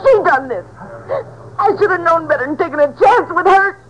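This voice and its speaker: High-pitched defiant teenage girl robber. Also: expressive, moderate pace, fairly bright tone. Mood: bitter, angry. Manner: tough, slangy, ungrammatical talk. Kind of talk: 1950s radio drama acting. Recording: noisy old 1950s radio recording.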